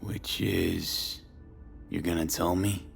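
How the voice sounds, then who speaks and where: An actor playing a brooding, world-weary male street mercenary in a video game, with a gravelly, low-key, terse delivery. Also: steady tone, slow pace, soft background music, exaggerated voice. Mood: weary, reflective. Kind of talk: naturalistic video game acting.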